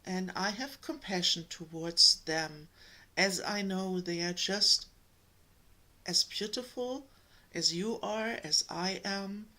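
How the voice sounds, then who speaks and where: A woman from Germany with a German accent, giving a slow, gentle spiritual talk to her viewers, pausing often. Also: mid-range voice. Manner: slow, gentle, with many pauses and ums. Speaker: spiritual coach.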